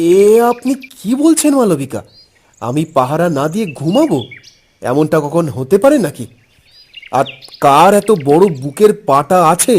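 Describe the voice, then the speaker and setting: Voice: medium pitch, darkish tone, a little hiss or hum, close to an everyday voice. একজন কণ্ঠশিল্পী রূপকথার কার্টুনে ফাঁকিবাজ, ভীতু অথচ বড়াই করা বাগানরক্ষীর চরিত্রে অতিরঞ্জিত, আমতা আমতা ভঙ্গিতে কণ্ঠ দিচ্ছেন।. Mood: alarmed, then blustering. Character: sleepy shirking garden guard, nervous, boastful, obsequious. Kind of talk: animated fairy tale voice acting.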